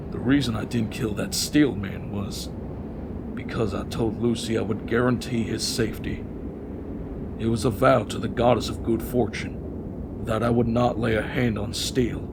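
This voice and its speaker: A man playing a grave, persuasive president villain in a dramatic anime fandub, speaking in measured, formal, declamatory monologue. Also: fairly low pitch, gravelly, heavy background noise. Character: male president villain, solemn, persuasive, grave, honor-bound vower. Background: dramatic orchestral soundtrack.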